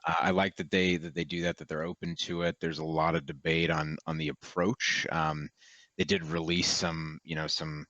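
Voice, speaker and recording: A man with a very deep but bright, forceful voice rambles easily through a hobby discussion with many fillers. The sound is dull and dry, with no room around it. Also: lively intonation, moderate pace, gravelly, no echo. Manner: easygoing, rambling, full of "you know" and "um". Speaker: co-host. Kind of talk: casual chat about a tabletop wargame.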